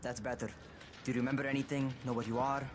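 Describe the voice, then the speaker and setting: A brash young runner from a video game, played by a male actor. He talks in a mid-range voice, curt and scolding, quick to defend himself. Clear noise sits behind him. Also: mid-range voice, flat delivery, close to an everyday voice. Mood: defensive. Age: young adult.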